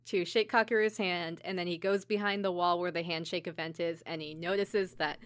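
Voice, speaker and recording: A woman's voice: on the low side, steady tone, brisk, dry recording, studio quality. A woman podcast co-host telling a story in casual chat, informally, with lots of "like" and quoted asides. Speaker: podcast co-host recapping an anime episode. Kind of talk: casual chat, episode recap.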